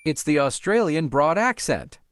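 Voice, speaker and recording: A man's voice reading out quiz numbers and answers in flat, clipped announcements. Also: fairly high pitch, unhurried, slight background noise. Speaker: quiz narrator.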